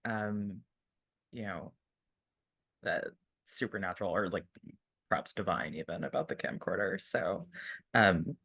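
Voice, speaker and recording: A man's voice: sits low, measured pace, pauses often, a bit bright, dry recording. A man talking casually as a player in a tabletop game. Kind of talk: casual chat.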